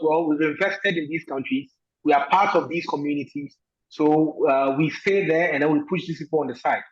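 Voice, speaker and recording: A man from Ghana, a guest answering interview questions in a thoughtful way, pausing often with fillers. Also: on the high side, dull audio.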